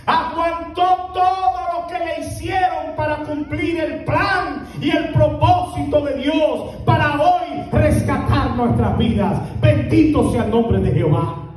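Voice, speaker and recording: A man preaching an impassioned sermon to a congregation, shouting and drawing out words for emphasis. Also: extremely high pitch, slow pace, a little hiss or hum. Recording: very echoey, from far off.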